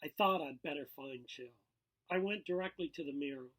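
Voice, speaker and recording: A man reading a novel aloud in an expressive narrative style, as its author. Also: a little high, moderate pace, rough recording. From Canada.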